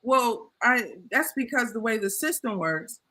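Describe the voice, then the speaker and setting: A woman's voice: a little high, animated, unhurried, pushed and bright. A woman talking passionately in a casual discussion, getting heated as she makes her points. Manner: passionate, impassioned.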